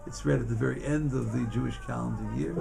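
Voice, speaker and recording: A man giving an informal Torah class as a rabbi, in a halting, emphatic manner that often repeats words. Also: mid-range voice, creaky, a crowd in the background. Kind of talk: informal religious lesson.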